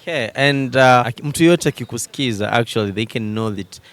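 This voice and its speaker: A man hosting an entertainment show at a brisk clip. He is upbeat and quick with his questions, with a mid-range voice. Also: medium pitch. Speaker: show host. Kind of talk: interview host mixing Swahili and English.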